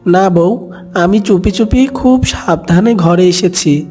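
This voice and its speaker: A man's voice: high-pitched, slow pace, a little mellow, soft background music, pitched above the performer's own voice. A man voicing a cautious cartoon duck, a protective husband and father. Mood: reassuring.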